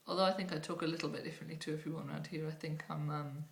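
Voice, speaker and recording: A woman's voice: sits low, fairly even intonation, racing, creaky. A woman from Northumberland with a Northumbrian accent, casually answering questions in a hesitant, rambling way. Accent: Northumbrian English. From Northumberland, England. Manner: casual and chatty, with a hesitant, rambling flow and many ums. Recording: roomy room echo.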